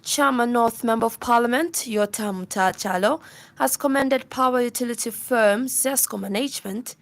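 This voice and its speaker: A woman's voice: fairly high pitch, normal speed, dry recording. A woman with a Zambian accent reading a scripted radio news bulletin in a formal manner. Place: dry radio studio.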